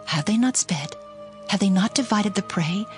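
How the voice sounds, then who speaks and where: A medium-pitched female storyteller , played by an actress, over music. She gives old scripture a theatrical, expressive reading for audio drama. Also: moderate pace, close to an everyday voice. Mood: eager, rising. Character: female storyteller voicing anxious mother and boastful ladies.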